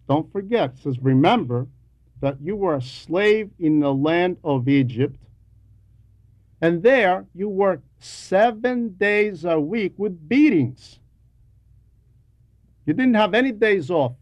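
A man delivering a teaching sermon in a deliberate, emphatic way, repeating key phrases for weight. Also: a little high, darkish tone, dry recording. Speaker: homilist reading a translated homily.

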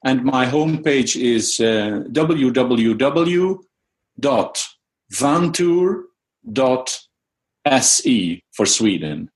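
A middle-aged man whose first language is Dutch talks through his research in a guest interview. His pitch sits in an ordinary middle range, and he often stops to search for words. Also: lively intonation, extremely slow. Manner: hesitant, many fillers and restarts. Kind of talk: casual interview answers.

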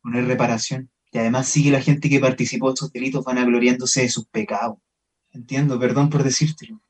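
A man's voice: medium pitch, little pitch movement, a little fast, husky, natural voice. An actor with a Chilean accent playing a thoughtful, curious young man in radio drama dialogue, earnest and questioning. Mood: sympathetic.

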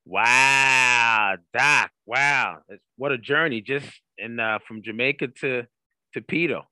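A man's voice: mid-range voice, slow, sharp tone, no room sound. A man hosting a career coaching podcast in an upbeat interviewing style, emphatic and given to repeating phrases.

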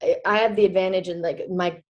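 A young woman with a low voice answers interview questions in a relaxed, chatty way. She laughs often and her talk is full of easygoing fillers. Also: fairly quick, lo-fi sound. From Czech Republic. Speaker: guest, hockey player. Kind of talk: casual interview.

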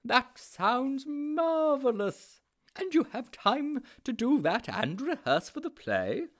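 A man's voice: extremely high pitch, sing-song, slow pace, pitched above the performer's own voice, full character voice. A man voicing a jovial, beaming old headmaster with a hearty, genial manner.

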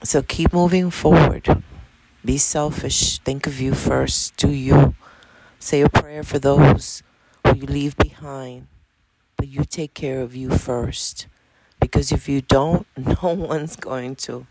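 A woman of fifty-eight speaks with a New York accent and plenty of gravelly fry in her voice. She gives informal, motivational talk straight to the listener. Her delivery is emphatic, with short phrases repeated like mantras. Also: rumbling low, steady tone, slow, darkish tone, slight background noise, low-quality recording. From Dominican Republic, raised in Washington Heights, New York City. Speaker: spiritual self-help vlogger.

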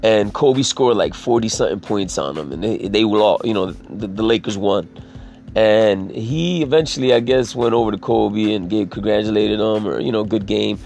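Raspy, gravelly man rambling casually. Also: lowish voice, moderate pace, a little mellow, faint music behind. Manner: profane, filler-heavy, restarts sentences.

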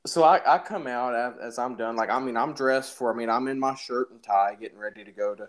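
A man's voice: mid-range voice, brisk. A young man casually telling an anecdote in an informal, jokey, exaggerating way. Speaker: storyteller in a podcast-style phone chat.

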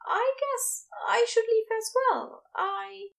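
A woman voicing a prim, nervous, soft-hearted gentleman in a storybook, with a fussy, polite and hesitant manner. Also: extremely high pitch, slow, vocal fry, pitched above the performer's own voice, full character voice. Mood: nervous, hopeful.

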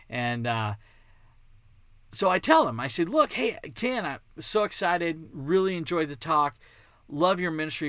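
Texan male podcast host, bright, slightly high voice, dry close sound, rambling and eager. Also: clear, resonant tone, dry recording. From Texas. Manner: chatty, digressive, enthusiastic. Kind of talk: casual monologue.

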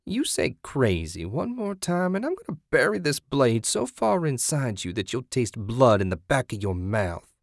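Male narrator as menacing woman, sanctimonious, raspy, taunting, raised pitch, close dry audiobook sound. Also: sing-song, no room sound. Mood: threatening. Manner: taunting, sinister, drawn-out threats. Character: menacing, sanctimonious, depraved woman captor with knife. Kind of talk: dramatic audiobook character voice.

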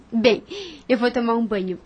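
A woman's voice: fairly high pitch, measured pace, muffled recording. An actress playing a shy, proper, cheerful young woman in a radio soap opera. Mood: coy, polite.

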